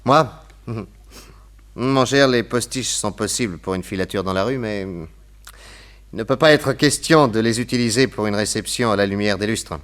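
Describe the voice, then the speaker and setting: Shrewd radio-play detective, played by a man: lowish voice, assured and explanatory, theatrical phrasing. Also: moderate pace, fairly bright tone, natural voice. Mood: confident, amused. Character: shrewd, self-assured male detective planning his disguise. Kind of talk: French radio drama acting.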